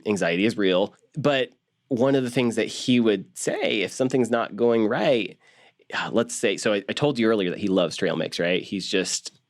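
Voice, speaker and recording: A man talking casually in an interview as a voice actor, explaining in an animated way and often checking in with the listener. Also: lowish voice, normal speed. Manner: animated, acts out examples, often checks with "right?".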